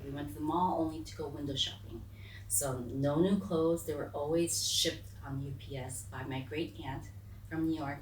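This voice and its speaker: Low-voiced American woman, echoey room. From raised on US military bases, largely in South Korea. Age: 40s. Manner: candid, informal, digressive. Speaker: interview guest. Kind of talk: conversational life-story telling. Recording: echoey room, distant mic, faint noise.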